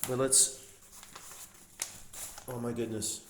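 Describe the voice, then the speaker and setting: A man's voice: medium pitch, flat delivery, slow pace. A man giving a casual, off-the-cuff walk-through as an explorer vlogger, pointing things out to viewers with open amazement. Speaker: urban explorer vlogger, host. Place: inside empty rooms of an abandoned house. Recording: roomy echo, light background noise.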